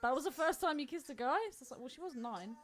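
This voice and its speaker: High-pitched, animated, playful woman. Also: fast talker. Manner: giggly, playful. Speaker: podcast co-host. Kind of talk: casual film chat with banter.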